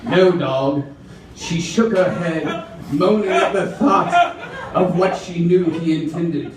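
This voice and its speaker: A man performs a dramatic reading at a slow, drawn-out pace. His voice sits fairly high for a male reader and is heard from a distance in a very echoey room. The delivery is theatrical. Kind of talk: mock-dramatic reading of erotic romance fiction. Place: roomy venue with a live audience. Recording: echoey room from far off, audience noise.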